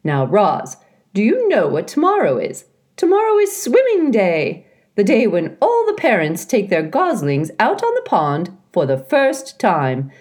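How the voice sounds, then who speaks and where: A woman voicing a chatty, bossy old female goose who talks in a bright sing-song way. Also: medium pitch, huge pitch swings, unhurried, voice pushed up. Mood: cheerful, bossy.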